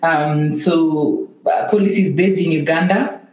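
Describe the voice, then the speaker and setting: A woman's voice: low-pitched, measured pace, over a noisy crowd. Kenyan woman in a dull, roomy recording. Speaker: podcast guest, tech activist and executive director. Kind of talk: conversational interview answers. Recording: roomy, dull lo-fi call-like sound.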